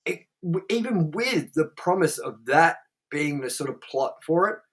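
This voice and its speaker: A man's voice: a little high, expressive, a little slow. A man co-hosting a podcast, talking casually and at length, rambling with lots of fillers and swearing. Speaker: podcast co-host. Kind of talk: casual chat.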